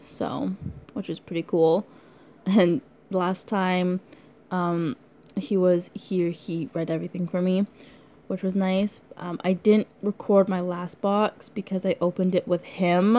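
A young woman from Canada chatting casually through an unboxing video, rambling and giggly, her voice hoarse from being sick. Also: fairly low pitch, mellow. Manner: rambling, giggly, lots of likes and ums. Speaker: vlogger.

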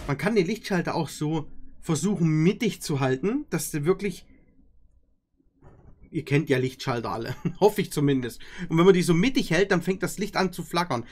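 A man's voice: fairly high pitch, expressive, moderate pace, a little mellow, soft background music. A young man doing casual, skeptical and mocking reaction commentary from a room at home. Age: young adult. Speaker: reaction video creator.

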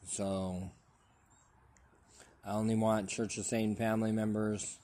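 Man, low monotone, selfie vlog outdoors, repetitive halting delivery. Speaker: vlogger. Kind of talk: rambling selfie plea to camera. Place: outdoors under a tree. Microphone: phone held at arm's length.

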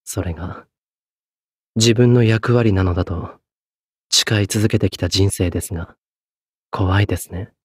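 A young executioner from an anime game, solemn and burdened with guilt. His voice is on the low side and notably breathy. He speaks with courteous, measured gravity, in a very dry recording with no room sound. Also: dry recording. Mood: solemn, devoted. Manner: polite, measured, grave. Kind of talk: Japanese anime game voice acting.

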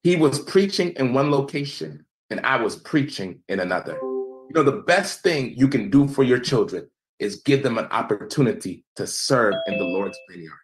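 A young American man giving an evangelism seminar over a video call, measured and preacherly, letting phrase endings fall quiet. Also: lively intonation, soft background music, low-quality recording. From United States. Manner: measured, preacherly, emphatic, drops pitch and volume at phrase ends. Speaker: seminar presenter. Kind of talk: presentation lecture with slides.

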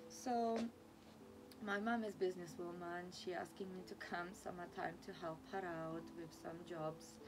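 A young woman from Lithuania with a Lithuanian accent, vlogging to camera in halting non-native English full of fillers, sitting in a small room. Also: on the low side, fairly even intonation, background noise. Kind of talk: casual talk to camera. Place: small room indoors, sitting against a plain wall.

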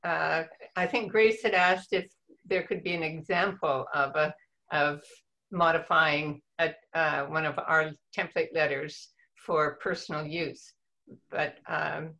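Female meeting participant, moderately low register, pausing as she goes, conversational video-call tone. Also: fairly even intonation, creaky, sharp tone, poor audio. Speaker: meeting participant asking questions. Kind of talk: informal meeting discussion. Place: indoors on a group video call.

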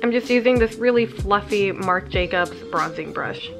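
A woman's voice: medium pitch, normal speed, gravelly, over music. A young woman with a cold, sounding slightly congested and nasal, chatting casually through a makeup routine at home. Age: young adult. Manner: chatty, walks through each step. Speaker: beauty vlogger. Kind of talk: casual makeup tutorial talk. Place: living room at home.

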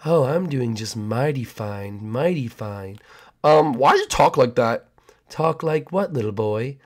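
Man putting on a sinister neighbor, slow oily folksy drawl, hushed and lower than his own voice. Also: expressive. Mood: creepy. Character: creepy smooth-talking male new neighbor.

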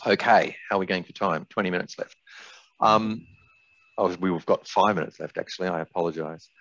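A man's voice: low voice, a little fast, a bit bright. An older man gives an explanatory webinar presentation in an informal, candid way. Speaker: software company presenter. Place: indoors, small room on video call. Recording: slightly dull, roomy webinar audio.

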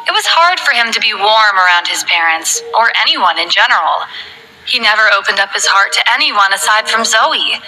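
A woman narrating a romance novel in a smooth, even reading voice, over faint music. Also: medium pitch, normal speed, rough recording. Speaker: audiobook narrator.

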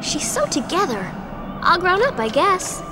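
A woman's voice: extremely high pitch, huge pitch swings, a little slow, music in the background, heavily acted. An actress playing a perky, impulsive teenage girl adventurer in a video game, casual, bubbly and a little pouty. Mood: chatty, wistful.

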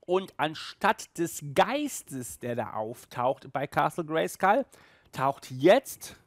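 A man's voice: a little high, very animated, slow, dry recording, studio quality. A man in his thirties hosting a casual video review, talking in a chatty, colloquial way. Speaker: host of an audio drama review show. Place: small room with sofa and shelves, indoors.

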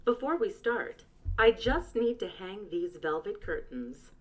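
A synthetic woman's voice with an Australian accent and a deep tone, playing a crystal-ball oracle. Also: animated, a little slow. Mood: ominous.

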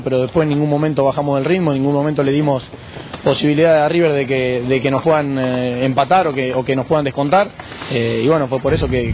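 A footballer from Argentina with an Argentine accent answering questions on a radio show, measured and halting with many restarts. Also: male voice, a little high. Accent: Argentine Spanish. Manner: measured, frequent hesitations and restarts. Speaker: footballer guest. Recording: muffled radio broadcast with faint noise.

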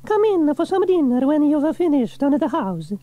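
Man doing a high, expressive Italian café lady. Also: normal speed, no room sound. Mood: friendly. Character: warm, busy Italian woman café owner.

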